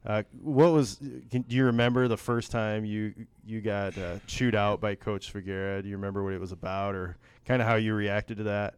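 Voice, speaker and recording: A man's voice: lowish voice, fairly even intonation, normal speed, hardly any pauses, smooth, dry recording. A man hosting an interview, asking friendly, conversational questions. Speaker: interview host. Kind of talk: podcast-style interview.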